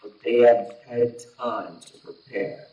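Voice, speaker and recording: Man playing a slow, echoing, grave alien warrior. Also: medium pitch, steady tone, muffled recording, natural voice. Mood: stern, urgent. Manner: formal, measured, solemn. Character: grave, impatient alien warrior son urging war. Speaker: actor in an audio drama. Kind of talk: scripted audio drama dialogue. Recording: roomy echo, distant from mic.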